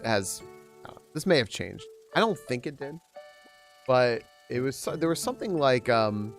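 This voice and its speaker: A man chatting casually on a live stream while playing a game. He rambles with many restarts and jumps up in pitch whenever he greets viewers.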